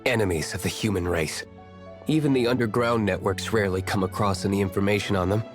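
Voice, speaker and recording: An actor playing an aloof, wealthy young nobleman in a video game, terse and measured. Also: on the low side, soft background music, close to an everyday voice. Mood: cool. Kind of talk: video game dub acting.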